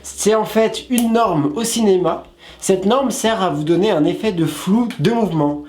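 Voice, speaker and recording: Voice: medium pitch, a little slow, darkish tone, a little hiss or hum. Playful web show co-host with music behind. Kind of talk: casual explainer chat with co-host.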